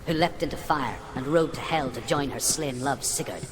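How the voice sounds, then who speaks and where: A man playing a grave storyteller of old myths in a video game, measured and ominous, phrases trailing into hush. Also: medium pitch, natural voice. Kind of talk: solemn scripted mythic storytelling in a game. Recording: loud ambient noise behind the voice.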